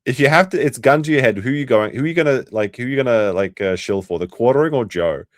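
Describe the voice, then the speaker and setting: A man's voice: mid-range voice, expressive, quick pace, no echo, studio quality. A young man with a New Zealand accent, chatting crudely and rambling as a livestream host reacting for his chat. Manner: rambling, crude, restarts often, talks to chat. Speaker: streamer host.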